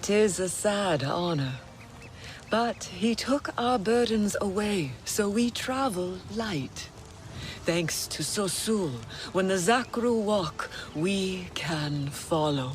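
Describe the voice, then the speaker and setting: An actress playing a measured, formal alien clan member who shares gossip and lore in a video game cutscene. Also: female voice, medium pitch, lively intonation. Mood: solemn, wistful.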